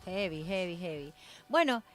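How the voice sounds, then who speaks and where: A woman's voice: on the low side, expressive, a little slow, a bit bright, faint music behind. A woman co-hosting a casual chat show, repeating words for emphasis. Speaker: co-host.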